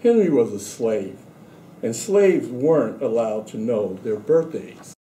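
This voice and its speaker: Man, very soft dark tone, slow deliberate read-aloud for children, school administrator, roomy echo. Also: mid-range voice, very animated. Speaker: school administrator introducing and reading a story. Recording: roomy echo with faint background noise.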